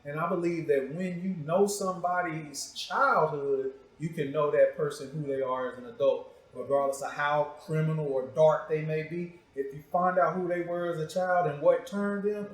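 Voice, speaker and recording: A man's voice: on the high side, fairly even intonation, normal speed, few pauses. Male talk show host in an echoey room. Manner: informal, teasing, slangy. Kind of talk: casual, joking interview talk.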